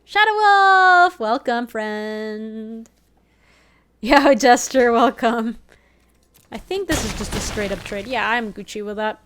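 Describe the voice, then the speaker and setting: A woman's voice: on the high side, animated, fairly bright tone, a little hiss or hum. A young woman from Ecuador, a native Spanish speaker, chatting casually as she streams a card game and answers her chat. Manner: chatty, reads chat aloud, quick reactions and laughs. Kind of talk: casual live-stream gameplay commentary.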